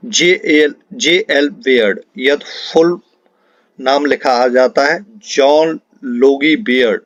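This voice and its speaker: A man's voice: medium pitch, dry recording. Man teaching, dry slightly dull recording. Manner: instructive, repeats questions and answers for emphasis. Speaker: teacher for an exam-prep class. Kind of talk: explanatory quiz lesson, talking to students.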